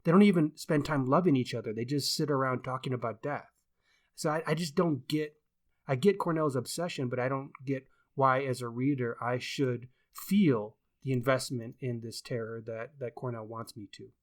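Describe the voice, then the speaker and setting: A man's voice: medium pitch, moderate pace. A man co-hosting a casual book discussion, speaking in an opinionated, emphatic way and repeating words for stress. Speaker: podcast co-host and book reviewer.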